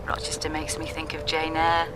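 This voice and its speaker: A woman's voice: deep voice, monotone, normal speed, noisy background, lightly acted. An actress playing a chatty, fussing older British mother in a naturalistic radio drama.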